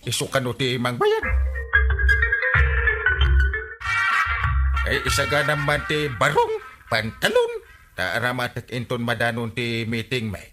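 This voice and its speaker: Man acting bossy Ilocano village captain, very animated. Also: mid-range voice, normal speed, over loud music, natural voice. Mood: self-important. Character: bossy, excitable male village captain in a rural comedy. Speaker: radio drama actor. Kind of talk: Filipino radio comedy drama acting.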